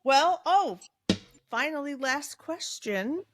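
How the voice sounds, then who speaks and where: A woman's voice: sits high, lively intonation, takes it slowly, noisy background. A woman co-hosting a podcast interview, speaking in an informal, agreeable, chatty way. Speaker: podcast co-host. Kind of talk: casual interview chat.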